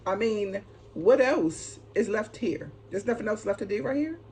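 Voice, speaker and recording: A woman's voice: medium pitch, lively intonation, normal speed, a little mellow. A woman giving an unscripted, casual spiritual card reading, speaking emphatically and repetitively with frequent short check-in tags. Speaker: tarot reader and channel host. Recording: clearly noisy ambient background.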